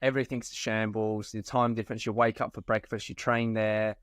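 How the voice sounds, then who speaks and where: A man's voice: on the low side, brisk, clear, resonant tone, no room sound. Chatty male podcast co-host, dry sound. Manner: chatty, swears casually, animated asides. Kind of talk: casual podcast chat.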